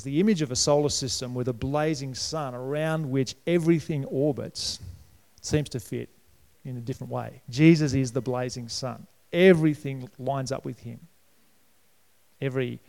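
A middle-aged Australian man preaching in a chatty, conversational way, with a gravelly edge to his voice. His pitch sits a little high for a man, and he now and then jumps up louder and higher. The church hall around him leaves a long reverb on every phrase. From New South Wales, Australia. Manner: conversational preaching with sudden lifts in pitch and volume. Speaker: pastor. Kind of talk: sermon.

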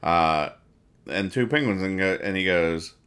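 A man hosting a casual comedy podcast, describing comic strips aloud and explaining their jokes in a rambling, halting, mock-serious way. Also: low voice, a bit bright, smooth. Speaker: podcast host reading newspaper comics.

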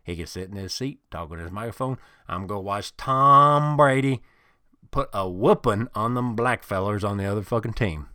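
A man's voice: on the low side, moderate pace, smooth, no echo, studio quality. A man doing a crude, swaggering couch football fan. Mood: blustering. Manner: loud, swaggering, profane ranting. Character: crude boastful middle-aged male couch football fan. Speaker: podcast host in character. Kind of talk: improvised comedy character monologue.

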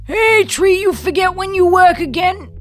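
Old rustic male shopkeeper, played by a woman: very high, friendly, folksy, exclamatory storybook character. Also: unhurried, faint music behind. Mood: loud, chummy. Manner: drawling rustic dialect, exclaiming.

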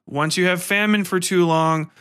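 A man's voice: high voice, steady tone, moderate pace, fairly bright tone, smooth. A man hosting a solo podcast review, ranting casually with heavy swearing, slang and exasperated sarcasm. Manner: profane, irreverent, slangy. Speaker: podcast host reviewing a film.

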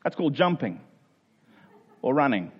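A man's voice: mid-range voice, very animated, moderate pace, breathy edge, muffled recording. A man preaching a conversational Bible sermon in an earnest way, posing rhetorical questions and voicing imagined objectors. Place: speaking live to a congregation.

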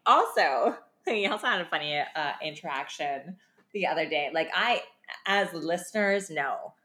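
A young woman with a deeper, husky voice, talking bluntly in a casual podcast chat and laughing often. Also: expressive, normal speed, sharp tone. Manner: blunt, laughs often, uses mild profanity. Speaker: podcast co-host. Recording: echoey room.

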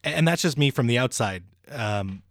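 A man hosting an interview, speaking casually and warmly affirming his guest, with frequent fillers. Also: medium pitch, expressive, moderate pace, no room sound, studio quality. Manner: friendly, with many fillers and affirmations. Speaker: podcast host.

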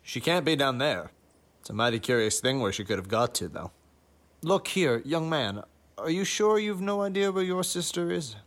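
A woman voicing a gruff middle-aged farmer in a plain-spoken drawl. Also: sits low, very animated, moderate pace, voice pushed down. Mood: relieved.

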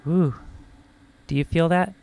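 A man's voice: on the high side, fairly even intonation, measured pace, creaky, darkish tone. A man talking casually and improvising as a player in a tabletop game, with music behind the voice. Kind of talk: casual in-character improvised chat.

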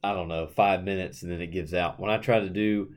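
Low-voiced man, friendly and chatty. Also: fairly even intonation, a little fast. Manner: friendly, self-deprecating, chatty asides. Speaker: podcast co-host. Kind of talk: casual chat.

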